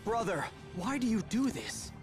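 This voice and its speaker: A man's voice: sits high, sing-song, moderate pace, over music. An actor playing an earnest, emotional young man in a video game. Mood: earnest, pleading. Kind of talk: video game cutscene acting.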